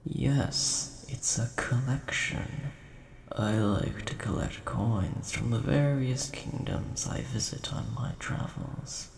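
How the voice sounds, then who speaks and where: A man voicing a large, mild-mannered, nerdy dragon with a gravelly voice and a polite, easily offended manner, in a scripted audio roleplay spoken to the listener. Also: mid-range voice, darkish tone. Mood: earnest, nerdy. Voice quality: gravelly, creaky. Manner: polite, measured, mildly indignant. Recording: roomy echo, faint background hiss.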